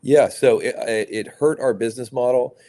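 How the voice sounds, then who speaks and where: A middle-aged man from Louisiana, a business executive answering interview questions in a measured way, with many fillers and restarts. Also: medium pitch, warm tone. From Louisiana, USA. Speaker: private equity executive, guest.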